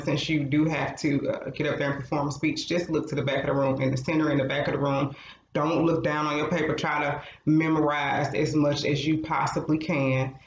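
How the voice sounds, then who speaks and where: Blunt, roomy-sounding male co-host. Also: on the high side. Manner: blunt, frank, swears freely. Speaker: podcast co-host. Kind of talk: casual advice chat. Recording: roomy, slightly dull sound with faint noise.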